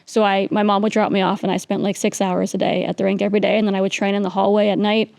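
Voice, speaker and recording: A woman's voice: mid-range voice, level tone, fast talker, creaky, darkish tone, dry recording. Young woman guest from New York City, casual storyteller with lots of fillers, dry close mic. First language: Russian. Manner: chatty, laughs often, many fillers. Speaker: podcast guest. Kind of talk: casual interview chat.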